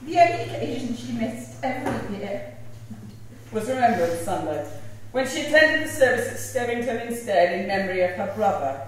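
A woman giving a personal, anecdotal eulogy in a measured, halting way, with wry humour. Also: on the high side, very animated. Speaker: eulogist at a memorial service. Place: large stone village church, indoors. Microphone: far camera among the congregation. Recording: echoey church, distant mic, faint noise.

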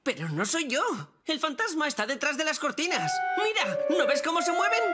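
A woman's voice: high-pitched, big pitch swings, brisk, music in the background. A woman voicing a mischievous, cocky, scheming little boy in a children's cartoon dub. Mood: teasing.

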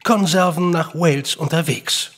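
An actor playing an official reading a telegram in clipped phrases in an audio drama. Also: male voice, fairly high pitch, normal speed, fairly bright tone. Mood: terse.

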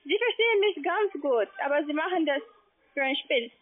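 Woman, very high voice, non-native German accent, insistent halting phone agent, tinny phone line. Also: expressive. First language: not German. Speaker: call-centre phone agent. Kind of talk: phone sales call.

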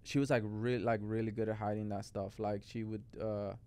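Casual young male guest from Mexico, clear smooth tone, rambling chat. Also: lowish voice, little pitch movement, normal speed, mellow. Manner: rambling, lots of "like" and "bro". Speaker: guest artist. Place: podcast studio. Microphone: studio mic on boom arm.

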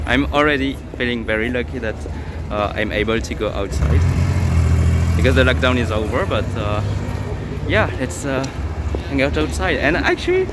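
Joking young Frenchman vlogging on the street. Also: medium pitch. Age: young adult. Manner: chatty, joking, many fillers and restarts. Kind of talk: casual vlog chat. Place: city square outdoors. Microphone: phone at arm's length. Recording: outdoor city noise behind. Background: street noise.

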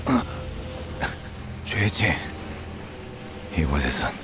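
A weary, wry man from a video game. His voice is extremely deep and very slow, a halting breathy mutter with clear noise around it. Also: big pitch swings, heavily acted. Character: weary, wry man, battered but shameless survivor. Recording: clear noisy ambience behind the voice.

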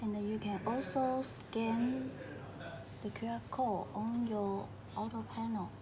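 A woman giving step-by-step instructional narration in a halting, simple way of phrasing. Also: on the high side, steady tone, crowd noise. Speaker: tutorial narrator. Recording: clearly noisy recording with room ambience.

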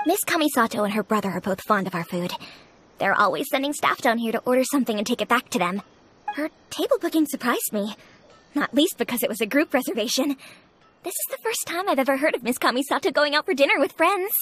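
An actress playing a friendly, talkative young restaurant owner in a video game, speaking in a confiding way. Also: female voice, high-pitched, animated, quick pace, a bit bright, slight background noise. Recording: game audio with music bed.

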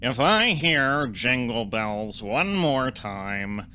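Actor doing sullen alien clerk, very slow drawl, bright hard tone, deadpan, haughty, comic audio drama. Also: mid-range voice, slight background noise, exaggerated voice. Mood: disdainful. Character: sullen alien front desk clerk, scornful of humans.

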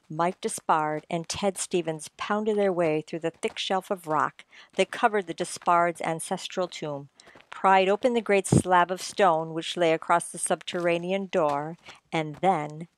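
A woman's voice: on the low side, low-quality recording. A woman narrating a radio mystery in a dramatic, scripted style.

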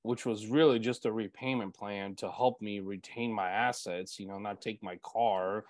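A man's voice: lowish voice, steady tone, normal speed, darkish tone, dry recording, studio quality. A man in his forties with a Bulgarian mother tongue, hosting an interview and telling his story earnestly, full of "you know" fillers, over earbuds on a video call. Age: 40s. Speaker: podcast host.

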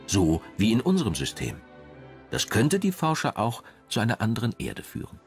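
A man's voice: fairly low pitch, wildly swooping pitch, moderate pace, creaky, faint music behind. A man reading scripted documentary narration in German as the narrator.

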